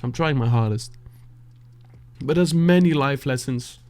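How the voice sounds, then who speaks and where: A Dutch man, a native Dutch speaker, talking softly and haltingly, searching for words and sighing as he reflects after a song. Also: medium pitch, a little hiss or hum. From Netherlands. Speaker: beatboxer reacting to music. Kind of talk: reflective reaction talk.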